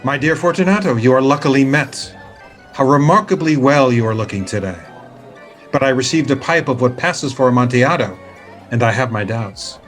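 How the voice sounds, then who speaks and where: An actor playing a vengeful, smoothly false-friendly nobleman who narrates in a deliberate, formal, theatrical dramatic reading. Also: medium pitch, soft background music, close to an everyday voice. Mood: feigned warmth. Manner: deliberate, measured, formal diction.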